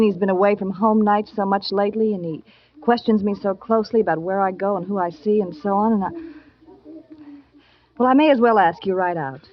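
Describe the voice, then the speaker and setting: A woman acting an uneasy wife confiding in a detective story on radio. Her voice is medium in pitch, earnest and hesitant, with a pleading edge. The vintage recording is somewhat muffled. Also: natural voice. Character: anxious, pleading detective's wife, former actress. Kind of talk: old-time radio drama acting.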